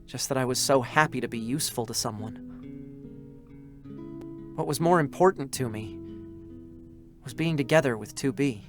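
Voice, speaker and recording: A man playing a devoted young male android soldier in a dramatic reading, slow and emotionally raw, with a soft breathy voice. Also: mid-range voice, natural voice. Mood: tender, mournful. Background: music.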